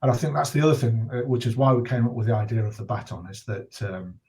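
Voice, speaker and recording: A man in his sixties speaking as an interview guest, earnest and reflective, choosing his words hesitantly with pauses. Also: medium pitch, a little mellow. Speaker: guest, retired journalist and charity campaigner.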